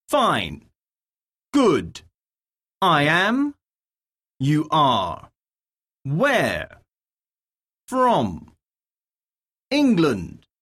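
A woman narrating a scripted English lesson, reading out words, questions and dictation in a deliberate, enunciated way with pauses. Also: fairly low pitch, fairly bright tone.

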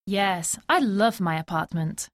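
A woman reading scripted dialogue lines for a language lesson, slow and clearly spoken. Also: medium pitch, animated, dry recording, studio quality.

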